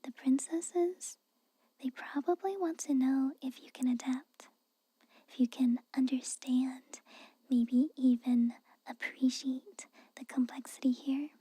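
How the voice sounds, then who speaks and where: A woman playing a haughty, guarded but secretly caring young castle maid in a soft, breathy, whispered ASMR roleplay, hesitant and chiding. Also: sits high, steady tone, slow pace, dry recording, exaggerated voice. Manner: hesitant, reluctant, aloof and chiding.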